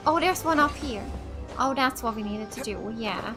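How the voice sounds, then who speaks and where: A woman from Finland doing casual let's play commentary, reacting aloud and thinking out loud as she plays. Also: on the high side, lively intonation, normal speed. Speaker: gaming YouTuber. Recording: game music behind the voice. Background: video game music.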